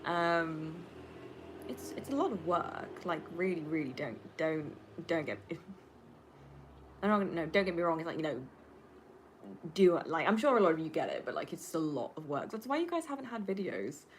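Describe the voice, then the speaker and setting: A woman's voice: low voice, sing-song, normal speed, slight background noise. A woman in her twenties, a native Flemish Dutch speaker, chatting casually to livestream viewers in a rambling, candid, sweary and emphatic way. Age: 20s. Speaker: livestreamer and film student. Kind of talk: casual livestream chat answering viewer questions.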